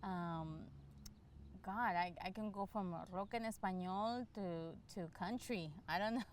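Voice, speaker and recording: She is a middle-aged woman from El Salvador with a mid-pitched voice. She talks candidly and casually as an interview guest, often restarting as she thinks aloud. There is faint noise in the background of the recording. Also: measured pace. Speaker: interview guest, law enforcement candidate for office.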